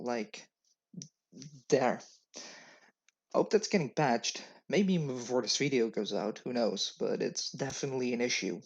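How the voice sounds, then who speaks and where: A man whose first language is not English, reading a wry, opinionated game review for his channel on a webcam mic. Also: mid-range voice. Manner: opinionated, wry, matter-of-fact. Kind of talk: scripted game review commentary. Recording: dull lo-fi webcam audio.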